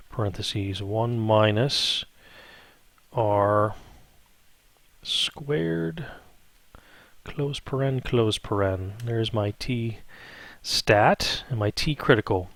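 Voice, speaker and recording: A man's voice: lowish voice, a bit bright, dry recording. A man working through a math lesson as an instructor, thinking aloud in an improvised way and often checking in with short questioning tags. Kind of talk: improvised step-by-step spreadsheet tutorial.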